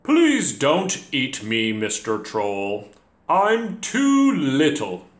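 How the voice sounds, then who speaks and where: A man's voice: mid-range voice, huge pitch swings, very slow, echoey room, pitched above the performer's own voice, exaggerated voice. A man voicing a middle-sized billy goat brother with a round voice, pleading in a storybook tale.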